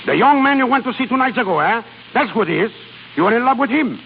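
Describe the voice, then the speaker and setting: A man playing an excitable, fatherly opera mentor in a theatrical, exclamatory 1930s radio style. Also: extremely high pitch, fast talker, vocal fry, full character voice. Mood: concerned, probing. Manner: theatrical, exclamatory, swinging from hushed to emphatic. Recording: muffled noisy 1930s radio broadcast, long reverb.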